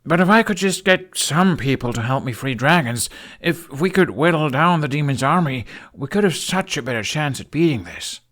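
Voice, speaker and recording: A man voicing an earnest, irritable young Viking in an audiobook, speaking in a halting, insistent, exasperated way. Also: on the high side, expressive, fairly bright tone, voice pushed up. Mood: urgent. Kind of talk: audiobook character acting.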